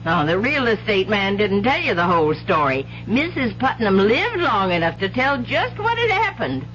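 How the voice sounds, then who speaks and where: Animated, haunted, insistent widow. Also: female voice, mid-range voice, moderate pace, slight background noise. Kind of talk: 1970s radio drama acting. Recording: dull vintage radio recording with music.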